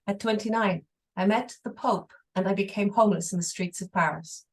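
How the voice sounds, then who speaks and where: Woman reading out a translation, mid voice, careful and steady. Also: mid-range voice, brisk. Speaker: interpreter. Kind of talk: reading an English translation aloud.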